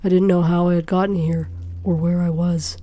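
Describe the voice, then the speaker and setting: Mellow, even-toned woman narrating fiction. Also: on the low side, moderate pace. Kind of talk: dramatic first-person story narration. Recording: faint background noise.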